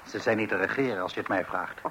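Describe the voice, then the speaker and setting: A man's voice: fairly low pitch, fast talker, a bit bright, slight background noise. An actor playing an opinionated, self-righteous, pompous man in a Dutch radio play. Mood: disapproving. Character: opinionated, self-righteous man who believes in strict child-rearing.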